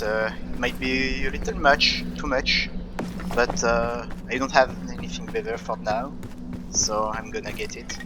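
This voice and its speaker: A young man with a French accent, casually commenting on his own gameplay and thinking aloud, with frequent pauses and fillers. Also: mid-range voice, noisy background. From France.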